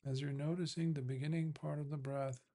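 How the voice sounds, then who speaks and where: A man, a Buddhist monk, leads a guided meditation in an unhurried, measured way, leaving long pauses between short phrases. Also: a little high, level tone, warm tone.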